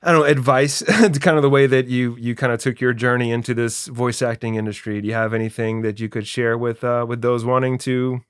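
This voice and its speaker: A man's voice: medium pitch, steady tone, fairly quick, few pauses, smooth, dry recording, clean studio sound. A man hosting a podcast interview in a casual, earnest and appreciative manner. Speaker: podcast host and writer.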